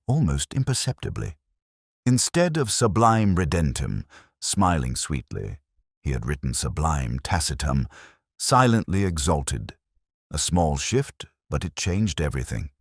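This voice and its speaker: Guy acting as a gloomy police inspector telling his case, super deep voice, measured and bookish, very dry close sound. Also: creaky, slightly rough, no echo, full character voice. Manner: measured, brooding, literary. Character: melancholy, observant male police inspector recounting a case. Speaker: narrator. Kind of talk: scripted first-person detective audio drama narration.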